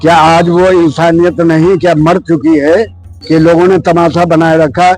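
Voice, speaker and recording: A man's voice: on the high side, level tone, moderate pace, a little mellow, faint music behind. An older man giving an impassioned, preachy, unscripted interview answer full of rhetorical questions. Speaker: member of the public interviewed.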